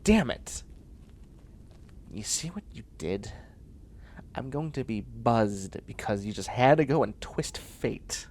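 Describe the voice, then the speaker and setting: Man acting a flustered, sardonic catboy, swooping pitch. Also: animated, takes it slowly, pauses often, a little mellow, slight background noise. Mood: irritated, woozy. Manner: stammering, exasperated, sarcastic. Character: flustered, sardonic young man sprouting cat ears and tail. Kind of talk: comedic sci-fi audio roleplay.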